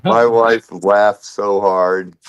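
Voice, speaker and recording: A man's voice: fairly low pitch, fairly even intonation, very slow, clean tone, lo-fi sound. A man co-hosting a casual livestream, his sinuses acting up, greeting viewers one by one by name. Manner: reads out viewers' names in greetings. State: congested sinuses. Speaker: co-host.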